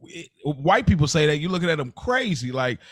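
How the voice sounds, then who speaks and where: A man from the United States talking as a podcast host, casual and slangy, thinking aloud in a halting, reflective way. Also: a little high, very animated, no echo.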